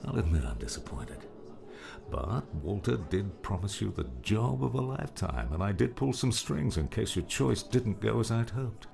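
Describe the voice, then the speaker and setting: Man acting a weary, very gravelly older mentor. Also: fairly low pitch, sing-song, normal speed, crowd noise, heavily acted. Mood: gentle, reassuring. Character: weary, kindly older male mentor, veteran guardian. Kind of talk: audiobook drama acting. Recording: music underneath.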